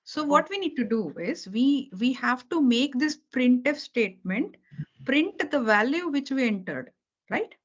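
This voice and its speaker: A woman's voice: high-pitched, expressive, a little slow, husky. Patient woman teaching code on a call. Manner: patient, repeats key points, checks understanding with okay and right. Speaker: programming teacher. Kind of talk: live coding lesson over video call. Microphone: video call. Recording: dull lo-fi call audio.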